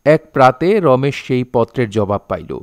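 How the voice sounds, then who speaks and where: A man's voice: mid-range voice, expressive, moderate pace, dry recording. A man narrating an audio drama in a formal, literary manner.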